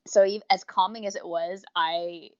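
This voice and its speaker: A woman's voice: fairly low pitch, steady tone, normal speed, no echo, lo-fi sound. A woman co-hosting a casual review podcast, chatting in rambling explanations with quoted asides. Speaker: podcast co-host reviewing audios.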